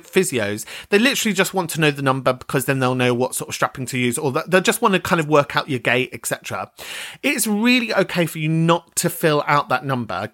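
A man's voice: medium pitch, pushed and bright, dry recording, clean studio sound. A man hosting a podcast in casual chat, frank and chatty, swearing freely while giving supportive advice. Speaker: podcast host.

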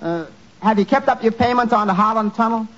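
Droll, very high-voiced sidekick Eddie. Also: male voice, fairly quick, a bit bright. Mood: droll, observant. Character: droll male tavern sidekick named Eddie. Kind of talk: 1940s radio comedy acting. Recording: muffled 1940s radio recording.

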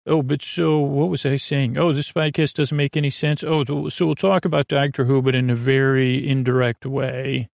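Creaky-voiced man rambling gently toward bedtime. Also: medium pitch, flat delivery, dry recording, studio quality. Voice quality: creaky, gravelly. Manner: meandering, halting, trailing off and circling back. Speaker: podcast host. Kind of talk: rambling, casual bedtime podcast monologue.

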